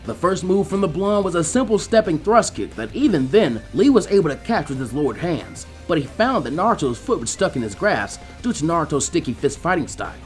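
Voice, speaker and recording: Guy narrating a fan fiction story, highish voice, really animated, soft music behind. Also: brisk, hardly any pauses. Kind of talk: expressive scripted story narration.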